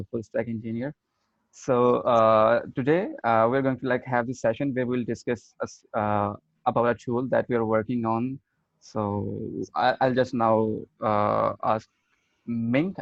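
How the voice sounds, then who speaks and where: A man's voice: medium pitch, gravelly, no room sound. A man from Pakistan hosting a casual video call meeting, talking hesitantly with many fillers and restarts. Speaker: meeting host, senior engineer. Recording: muffled lo-fi video call audio.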